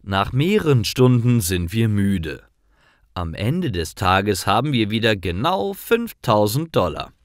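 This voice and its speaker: A man narrating scripted stories for language learners, reading simple sentences clearly. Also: fairly low pitch, no echo, clean studio sound.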